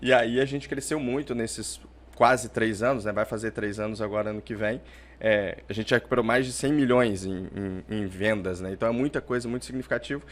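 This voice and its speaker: A man's voice: on the low side, steady tone, brisk. A man, a podcast guest who answers in a chatty way, often tagging lines with "né?". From Portugal, raised in Brazil. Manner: chatty, explains with "né?" tags. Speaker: podcast guest, startup founder. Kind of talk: conversational interview answers.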